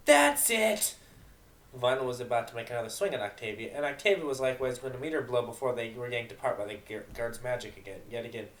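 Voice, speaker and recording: A man's voice: medium pitch, rapid-fire, hardly any pauses. A man reading narration for an amateur dramatic reading of a fan fiction story. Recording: roomy, echoing home recording.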